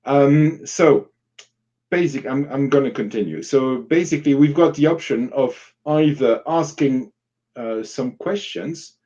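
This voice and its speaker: A man's voice: a little high, dark tone, dull audio. A man giving informal, explanatory advice as a workshop facilitator in a live online discussion, illustrating points with example questions.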